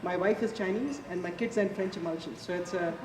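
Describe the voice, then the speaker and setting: A man in his forties with an Indian accent, a chef giving a casual live cooking demonstration in a chatty, halting way. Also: high-pitched, a crowd in the background. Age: 40s. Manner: chatty, informal, halting delivery. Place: large echoey event space before an audience. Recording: echoey, noisy public hall, distant mic.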